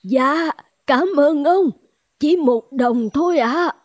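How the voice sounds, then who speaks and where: Slow, high-voiced kindly old peddler. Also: female voice, darkish tone, no room sound, clean studio sound. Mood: rueful. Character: kindly, humble old village woman selling fans. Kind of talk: Vietnamese folk-tale cartoon dubbing. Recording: music behind the voice.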